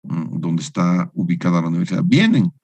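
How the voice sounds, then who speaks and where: A man's voice: deep voice, animated, fairly quick, a little mellow, dry recording. A man giving an online engineering lecture to university students. He teaches in a didactic way and keeps checking in with tag questions. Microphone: video call.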